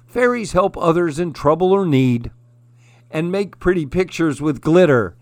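Gentle sad moose for a storybook, acted by a man: raised, plaintive, earnest voice with lively swoops. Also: fairly high pitch, a little slow, no echo. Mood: earnest, determined. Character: gentle miserable male moose longing to belong. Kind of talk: children's storybook character voice.

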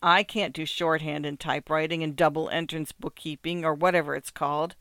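A man's voice: a little high, little pitch movement, brisk, pushed and bright, clean tone, no echo, studio quality. A man voicing a wealthy wife in a short story, mocking and theatrically gushing, with ironic, condescending exclamations. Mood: excited, gushing. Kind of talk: acted literary short story.

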